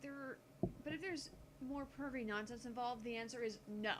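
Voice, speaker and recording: Woman acting prickly young genius woman, sarcastic, curt, visual novel character, higher-pitched, noisy home recording. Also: normal speed, close to an everyday voice. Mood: wary. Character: sharp-tongued young woman genius scientist, prickly and easily indignant. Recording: noticeable background noise on home mic.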